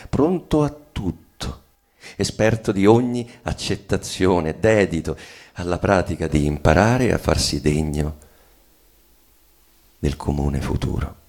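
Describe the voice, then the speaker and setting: Very deep, gravelly, tormented husband. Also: male voice, mellow, exaggerated voice. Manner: declamatory, with weighted pauses and repetitions. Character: tormented, rebellious bourgeois husband, bitter and solemn. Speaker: actor in a dramatic reading. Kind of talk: theatrical monologue reading of a stage play.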